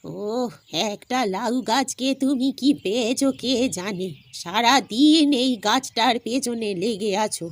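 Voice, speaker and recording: A woman as a high-voiced cartoon housewife. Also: measured pace, hardly any pauses. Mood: nagging, doubtful. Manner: questioning, exclamatory. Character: questioning, practical village housewife. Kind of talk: animated cartoon dialogue. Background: music.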